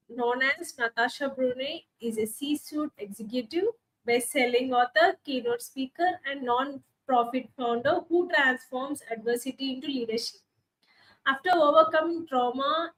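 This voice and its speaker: A woman's voice: high-pitched, rough recording. A woman hosting an online summit, reading a formal introduction of the speaker. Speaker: summit host.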